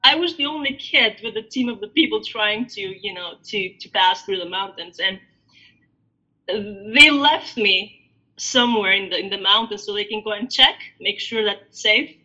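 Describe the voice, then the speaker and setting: A woman in her thirties from Albania, a podcast guest telling her story in a reflective, halting way with many fillers. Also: medium pitch, pushed and bright, breathy edge. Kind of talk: podcast interview. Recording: dull lo-fi audio.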